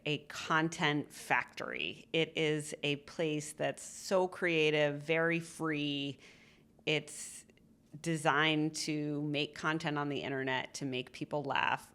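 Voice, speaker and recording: A woman's voice: low-pitched, a bit bright. A woman in her forties, raised in New England, speaking candidly and chattily as an interview guest with self-deprecating asides. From born in Colorado, raised in New Hampshire. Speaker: interview guest, former media CEO. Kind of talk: casual interview conversation.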